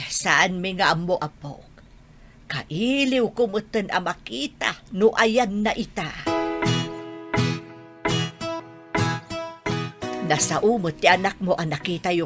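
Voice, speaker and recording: Woman playing a low-voiced, sorrowful old grandmother. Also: very animated, unhurried, music in the background. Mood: gentle, wistful. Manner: plaintive, tender. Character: sorrowful, devoted old grandmother longing for her son. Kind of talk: Ilocano audio drama acting.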